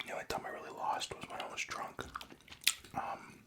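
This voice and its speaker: A young man from Canada telling a story in a soft, unvoiced ASMR whisper, casual and profane, close to the mic. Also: a little fast. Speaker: vlogger.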